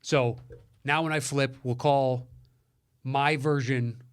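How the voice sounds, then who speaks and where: A man's voice: medium pitch, fairly even intonation, takes it slowly, smooth, no room sound, clean studio sound. A man co-hosting a podcast, chatting casually in a rambling, sweary way. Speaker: podcast co-host.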